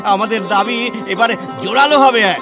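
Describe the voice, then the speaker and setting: An actor playing an ageing, stern and wounded scholar-reformer in a declamatory, emotional audio-play monologue with dramatic pauses. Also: male voice, high voice. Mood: triumphant. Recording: music under the voice. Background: background music.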